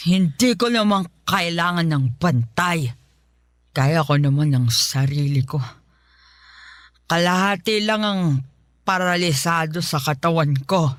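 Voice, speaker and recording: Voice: mid-range voice, unhurried, fairly bright tone, no room sound, studio quality, natural voice. An actor playing a bitter, fault-finding elderly mother, bedridden after a stroke, complaining and sighing in a Filipino radio drama. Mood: bitter, stubborn. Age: older.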